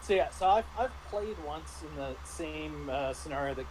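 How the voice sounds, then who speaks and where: A man speaks in a somewhat high-pitched voice. He explains things thoughtfully and haltingly, as in a casual roundtable review among gamers. A faint layer of noise sits behind him. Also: lively intonation. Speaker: tabletop game master and guest reviewer.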